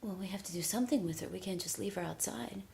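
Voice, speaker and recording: A woman voicing a hushed, pleading young wife. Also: mid-range voice, quick pace, vocal fry, a little hiss or hum, natural voice. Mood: anxious. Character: anxious young wife worried about the family cat.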